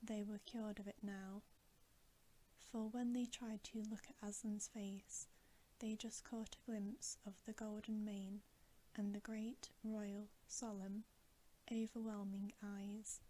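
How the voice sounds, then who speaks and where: A woman reads a bedtime story in a soft, breathy ASMR whisper. Her tone is mellow and stays very even. She takes her time, gently and soothingly, at a slow pace. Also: mid-range voice, rough recording.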